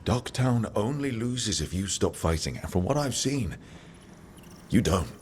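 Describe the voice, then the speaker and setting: A low-voiced, steady fantasy hero. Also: male voice, unhurried, slight background noise. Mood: earnest, supportive. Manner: earnest, gently teasing. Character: steady, encouraging male fantasy hero with dry wit. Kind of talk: dramatic video game dialogue.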